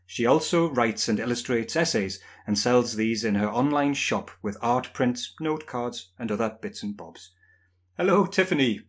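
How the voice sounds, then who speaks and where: A man's voice: mid-range voice, lively intonation, moderate pace. A man from northern England with a northern English accent, hosting a podcast in a friendly, playful way. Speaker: podcast host. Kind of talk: casual chat.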